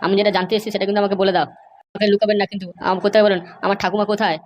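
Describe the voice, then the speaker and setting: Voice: mid-range voice, little pitch movement, slight background noise, close to an everyday voice. A performer voicing an anxious young woman in a cartoon comedy, pleading and insistent. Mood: insistent, worried. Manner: pleading, insistent, halting starts. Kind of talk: amateur cartoon comedy dialogue.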